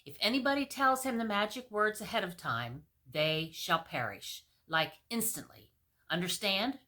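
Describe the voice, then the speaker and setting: A woman's voice: medium pitch, lively intonation, moderate pace, sharp tone. A woman playing a towering, stern male genie in a storybook, imperiously handing down decrees. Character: commanding, stern seven-foot male genie.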